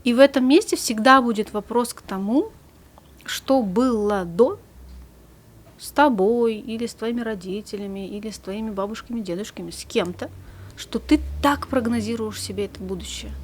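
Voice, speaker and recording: Calm woman psychologist, faint hiss. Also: a little high, normal speed. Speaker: psychologist guest. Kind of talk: studio conversation.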